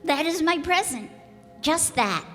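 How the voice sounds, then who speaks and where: Woman playing a slow, lilting, wistful little prince. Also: very high-pitched, expressive, sharp tone, heavily acted. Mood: tender, wistful. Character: gentle, wistful little prince, a young boy from the stars. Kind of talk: radio play acting. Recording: reverberant hall with music.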